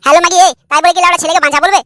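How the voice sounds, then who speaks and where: A man's voice: extremely high pitch, fairly even intonation, rapid-fire, sharp tone, no echo, clean studio sound. A man voicing a crude, irritable young husband in a comedy cartoon, brash, argumentative and foul-mouthed. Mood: angry.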